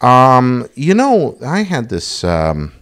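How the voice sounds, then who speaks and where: A man hosting a casual interview chat, asking quick playful questions, with a slight lisp. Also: mid-range voice, wildly swooping pitch, a bit bright. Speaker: host.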